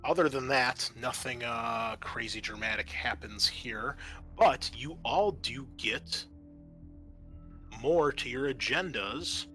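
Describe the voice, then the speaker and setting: A man hosting as game master, narrating a tabletop roleplaying game in a halting, conversational way. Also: medium pitch, pushed and bright, faint music behind.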